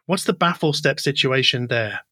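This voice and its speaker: A man's voice: mid-range voice, expressive, normal speed, sharp tone, no echo. Interview host, male, middle-aged. Reflective, curious delivery, mid-range voice, tight dry sound. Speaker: podcast host interviewing an audio engineer. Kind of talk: conversational technical interview.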